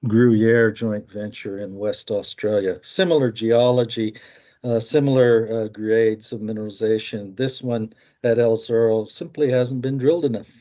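A man with an American accent giving market commentary, halting often with fillers. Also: fairly low pitch, fairly even intonation, mellow, lo-fi sound.

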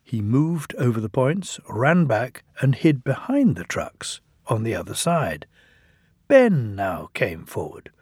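A man's voice: mid-range voice, very animated, slow, darkish tone, breathy edge, no echo, clean studio sound. A man narrating a children's storybook as an audiobook reader.

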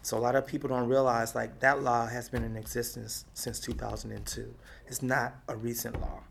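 A middle-aged woman from Texas with a deep, raspy voice, talking and laughing her way through memories in an oral history interview. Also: fairly quick, slight background noise. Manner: lively, talkative, laughs often. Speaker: activist interviewee.

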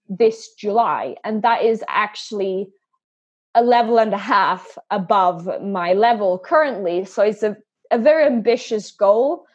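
A woman with a middle-pitched voice speaks in an interview. She is earnest and often repeats a word as she starts a sentence. The audio is slightly muffled and lo-fi. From Sweden and England. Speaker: podcast guest. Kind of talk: conversational interview.

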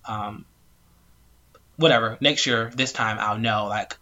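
A man's voice: on the low side, fairly even intonation, slow, pushed and bright, muffled recording. A man co-hosting a casual podcast, upbeat and chatty, talking about his week. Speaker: podcast co-host, yoga teacher.

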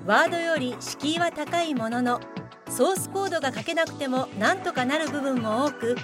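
A woman's voice: high-pitched, normal speed, music in the background, natural voice. A woman playing the formal, explanatory narrator of a radio drama, reading from a script. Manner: formal, expository.

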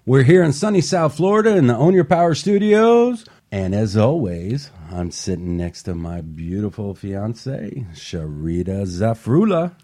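A man's voice: lowish voice, measured pace, few pauses. A man hosting a motivational radio talk show, chatting casually and upbeat with a co-host in a studio. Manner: upbeat motivational, relaxed with fillers.

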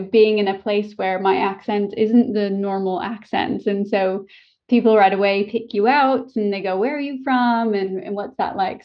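A woman's voice: mid-range voice, dull audio. An American woman who works as a voice and dialect coach, with a slight English tinge to her accent, speaking in an articulate, reflective and enthusiastic way in an interview. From United States. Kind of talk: interview over a call.

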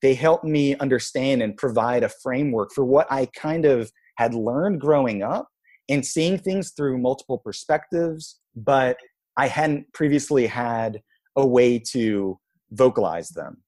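A man in his thirties from Israel, a guest telling his life story in a relaxed, reflective way, with many "like"s and "you know"s. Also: mid-range voice, unhurried. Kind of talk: casual storytelling interview.

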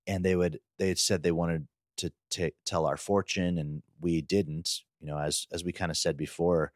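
A man's voice: deep voice, flat delivery, normal speed, no echo, clean studio sound. A man co-hosting a podcast, chatting casually and recounting things in an informal, loosely rambling way. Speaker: podcast co-host. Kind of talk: casual chat, personal recollection.

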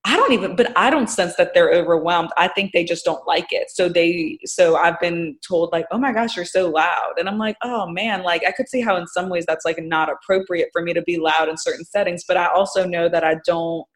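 A woman's voice: lowish voice, a little fast, few pauses. A woman in casual conversation, thoughtful and hesitant, with many fillers.

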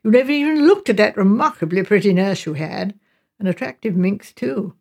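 A woman's voice: mid-range voice, animated, moderate pace, creaky, a little mellow. A woman voicing a spirited, outspoken young English sister in an audiobook, chatty, emphatic and teasing. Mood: teasing, mischievous.

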